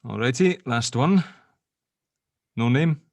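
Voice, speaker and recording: A man's voice: mid-range voice, animated, takes it slowly, gravelly, no room sound, clean studio sound, exaggerated voice. A man playing a sardonic, joking sceptic in an audio drama table read, bantering informally. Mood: coaxing. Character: sardonic, sceptical spirit-dealing grave opener, gently joking.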